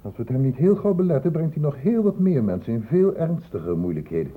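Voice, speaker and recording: An actor in a Dutch radio play voicing an earnest, insistent doctor who questions in a measured, formal way. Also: male voice, mid-range voice, big pitch swings, husky. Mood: urgent, insistent. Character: earnest, insistent male doctor investigating an infection outbreak. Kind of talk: Dutch radio drama acting. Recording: muffled phone-like old radio recording.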